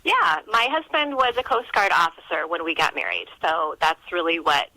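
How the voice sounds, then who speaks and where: Bright-voiced American woman, fairly low pitch. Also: very animated, moderate pace, no room sound, poor audio. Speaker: author, podcast guest. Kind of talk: conversational interview.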